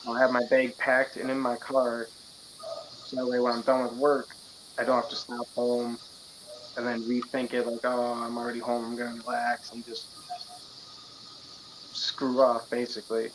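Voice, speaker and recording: Laid-back fighter, casual chat. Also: male voice, medium pitch, monotone, normal speed, pauses often, a little hiss or hum, low-quality recording. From Wisconsin. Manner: laid-back, plainspoken, frequent fillers. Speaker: interviewee, mixed martial artist.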